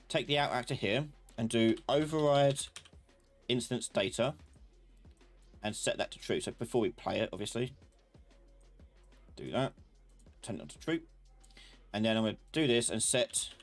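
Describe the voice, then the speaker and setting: A man with a mid-range voice leads a casual live software class. He thinks aloud, often muttering or humming as he works. Faint music plays behind him along with the sound of typing. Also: medium pitch. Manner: informal, muttering and humming while working. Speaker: host, software instructor.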